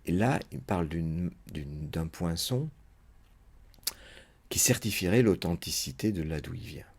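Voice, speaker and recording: Brief: male psychoanalyst, very low husky voice, muses aloud through a lecture with many pauses and fillers. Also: animated, a little mellow. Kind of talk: unscripted spoken lecture.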